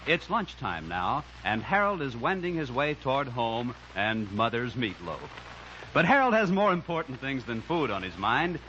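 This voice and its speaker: A man's voice: mid-range voice, sing-song, normal speed, pushed and bright, a little hiss or hum. A man announcing and narrating a scripted old-time radio comedy. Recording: muffled old radio broadcast recording.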